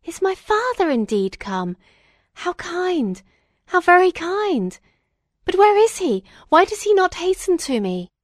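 A woman's voice: fairly high pitch, moderate pace, no echo, studio quality, lightly acted. A woman voicing an anguished, feverish young man in a storybook, exclamatory and melodramatic. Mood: overjoyed, eager.

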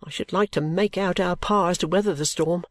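A woman voicing a sulky, overworked young woman who complains abruptly and breaks into pitched outbursts. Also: a little fast, no room sound, natural voice. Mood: exasperated.

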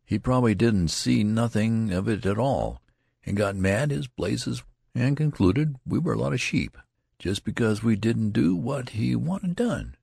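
A man's voice: medium pitch, expressive, a little slow, creaky, darkish tone, breathy edge, no room sound, studio quality, pitched above the performer's own voice, full character voice. A man voicing a philosophical but easily exasperated young soldier in an audiobook, with plain rustic grammar. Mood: soothing. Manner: plain rustic ungrammatical talk.

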